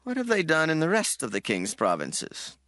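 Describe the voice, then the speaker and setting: A grand old-world king speaks in a low, sweeping voice that rises and falls widely, slow and solemn. The sound is close and dry, with soft music underneath. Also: huge pitch swings, dry recording, heavily acted. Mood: stately. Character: commanding adult male Persian king. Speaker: voice actor. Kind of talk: dramatized scripture reading.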